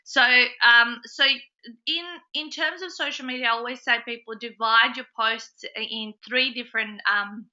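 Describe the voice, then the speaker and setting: A woman's voice: a little high, fairly even intonation, measured pace, sharp tone, dull audio. A woman hosting a live group call in a casual, chatty way, full of ums and uhs, sounding a little nasal from a cold. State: has a slight cold, a bit nasal. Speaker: host of a business support group call. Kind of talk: casual webinar talk to members.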